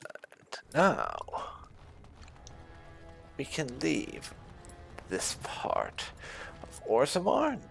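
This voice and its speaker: A man's voice: fairly high pitch, wildly swooping pitch, very slow, creaky, long pauses, faint music behind. A man giving casual, conversational commentary on a game, explaining things as he plays. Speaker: gaming YouTuber.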